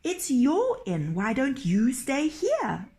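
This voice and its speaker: A woman voicing a calm, friendly and curious male traveller in a storybook, with a polite, questioning manner. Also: sits high, takes it slowly, voice pushed up, exaggerated voice. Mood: puzzled.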